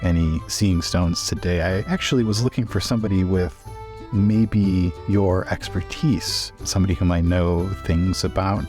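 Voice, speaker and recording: A man's voice: low-pitched, steady tone, normal speed, darkish tone, soft background music. A man playing a tabletop roleplaying game, switching between casual game chat and in-character talk.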